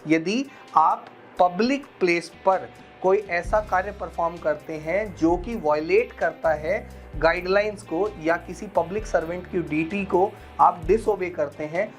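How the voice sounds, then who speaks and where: A woman's voice: lowish voice, measured pace. An Indian woman presenting a scripted legal explainer, instructing viewers and mixing in English terms. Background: background music.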